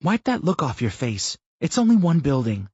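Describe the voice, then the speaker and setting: A man's voice: on the high side, sing-song, normal speed, a little mellow, husky, no room sound, voice pushed up. A male narrator voicing a tough, blunt woman who gives threatening orders in an audiobook. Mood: hard, commanding. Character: tough woman with a gun, commanding. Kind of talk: audiobook character voice. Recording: muffled lo-fi audio.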